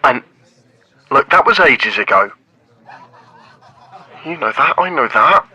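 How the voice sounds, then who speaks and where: A man playing a defensive, flustered man making excuses, loud and stammering, in a comic drama. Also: mid-range voice, lively intonation, slow pace, breathy edge, dry recording, lo-fi sound, full character voice.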